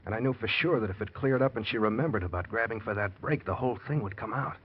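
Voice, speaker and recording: An actor playing a guilty, possessive husband with an edgy manner, in 1940s crime radio style. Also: male voice, on the low side, quick pace, slightly rough. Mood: uneasy confiding. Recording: muffled old radio broadcast.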